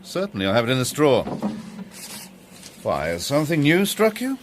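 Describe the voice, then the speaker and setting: An actor playing a genial, curious chief inspector in a radio drama, affable and obliging. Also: male voice, mid-range voice, wildly swooping pitch, moderate pace, slight background noise.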